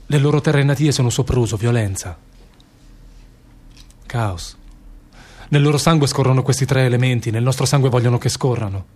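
Very husky, anguished man of faith acted. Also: mid-range voice, moderate pace, pauses often, darkish tone, natural voice. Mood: anguished, praying. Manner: solemn, earnest, prayer-like. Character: anguished, devout male public prosecutor torn by doubt. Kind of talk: theatrical radio drama acting.

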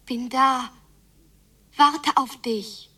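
A woman playing a yearning, stubborn young girl in a radio drama, speaking breathily in an intense, halting, hushed way. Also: high-pitched, steady tone, husky, distant mic, exaggerated voice. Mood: excited. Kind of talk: radio drama inner monologue, often whispered.

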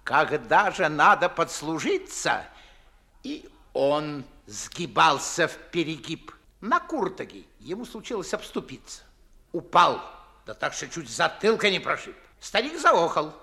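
A pompous old Moscow nobleman in a classic radio comedy. His voice is high and bright, and he lectures fussily in slow, theatrical, weighty phrases. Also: very animated, vocal fry, full character voice. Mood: admiring, animated reminiscence. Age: older. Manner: theatrical, declamatory, drawn-out emphatic phrasing. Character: pompous, fussy old aristocratic Moscow father and official. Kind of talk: 1950s stage-style radio play acting.

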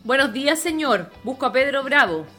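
An actress plays an emotional woman doctor and devoted daughter in a radio play. Her voice is very high, bright and forceful, with music behind it. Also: expressive, normal speed. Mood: insistent. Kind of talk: radio drama acting.

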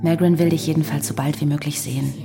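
A woman's voice: low voice, fairly even intonation, fast, vocal fry. An actress playing a loyal wife who reassures her jailed husband, in a radio play. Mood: reassuring. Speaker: wife Hazel. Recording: roomy echo with loud music.

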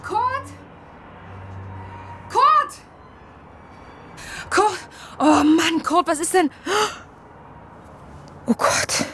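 A woman's voice: very high voice, big pitch swings, drawn-out words, long pauses, sharp tone, a little hiss or hum, exaggerated voice. An actress playing a shaken, worried woman at a grim scene in a radio drama. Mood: alarmed, shaken. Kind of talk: naturalistic radio drama acting.

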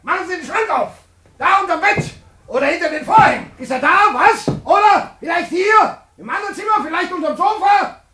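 A man's voice: very high voice, expressive, fairly quick, bright, forceful tone, heavily acted. An actor playing an irate, indignant middle-aged father who blusters and accuses in a radio drama. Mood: furious. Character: irate, indignant household head, father of a grown son.

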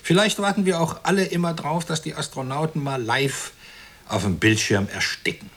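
An actor playing a smug, callous, middle-aged husband in a radio play, with a sneering, opinionated delivery. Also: male voice, fairly high pitch, moderate pace, fairly bright tone. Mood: cynical. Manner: sneering, opinionated, self-satisfied. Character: smug, callous well-off husband commenting on television violence. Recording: clearly noisy recording.

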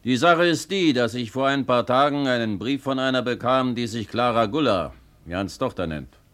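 An actor playing a brisk, blunt town lawyer in a 1950s German radio play, formal, direct and dryly amused. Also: male voice, medium pitch, expressive, fairly bright tone, natural voice. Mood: matter-of-fact. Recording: vintage 1950 radio recording.

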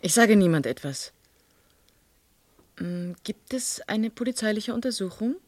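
A woman's voice: mid-range voice, lively intonation, a little slow, darkish tone, dry recording. An actress playing an inquisitive young woman in a radio drama, polite and probing. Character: inquisitive young woman quietly investigating a death.

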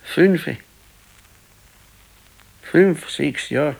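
Weary, slow old Bavarian husband. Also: male voice, a little high, vocal fry, darkish tone, husky, heavily acted, poor audio. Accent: southern German, Bavarian. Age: older. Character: ailing old husband, weary, stubborn, brooding. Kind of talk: naturalistic radio play acting.

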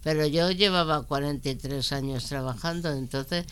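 A woman in her seventies chatting on a podcast, talkative and quick to laugh. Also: low voice, smooth. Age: 70s. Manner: chatty, warm-hearted, laughs often. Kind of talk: casual chat.

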